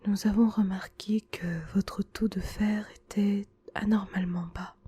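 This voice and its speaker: A gentle male school nurse, played by a woman, soothes a patient in a very breathy ASMR whisper. The voice is slow and mellow, with an even, hushed melody and a reassuring, attentive manner. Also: mid-range voice, dry recording. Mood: caring, attentive. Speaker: ASMR roleplay performer. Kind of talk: whispered French ASMR roleplay.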